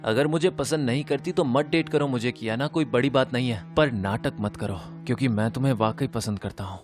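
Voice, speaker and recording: A man playing a sincere, wounded teenage boy in an animated story, speaking earnestly and expressively. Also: medium pitch, brisk, natural voice. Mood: hurt, sincere. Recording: music behind the voice.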